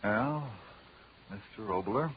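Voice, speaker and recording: Lively 1940s radio announcer, lowish male voice. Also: takes it slowly. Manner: polished, persuasive, emphatic. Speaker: announcer and sponsor spokesman. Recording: muffled old radio recording, faint noise.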